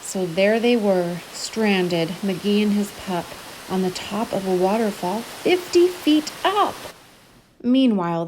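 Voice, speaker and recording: A woman reading a rhyming children's picture book aloud in an expressive storytelling style, drawing out key words. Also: medium pitch. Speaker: storybook reader and host.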